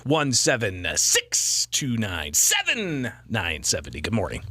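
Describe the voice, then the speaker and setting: A man's voice: fairly low pitch, wildly swooping pitch, a little slow, sharp tone, slightly rough, dry recording. An American man hosting a live radio call-in show, chatting casually into a close studio mic. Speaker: radio talk show host. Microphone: close broadcast studio mic.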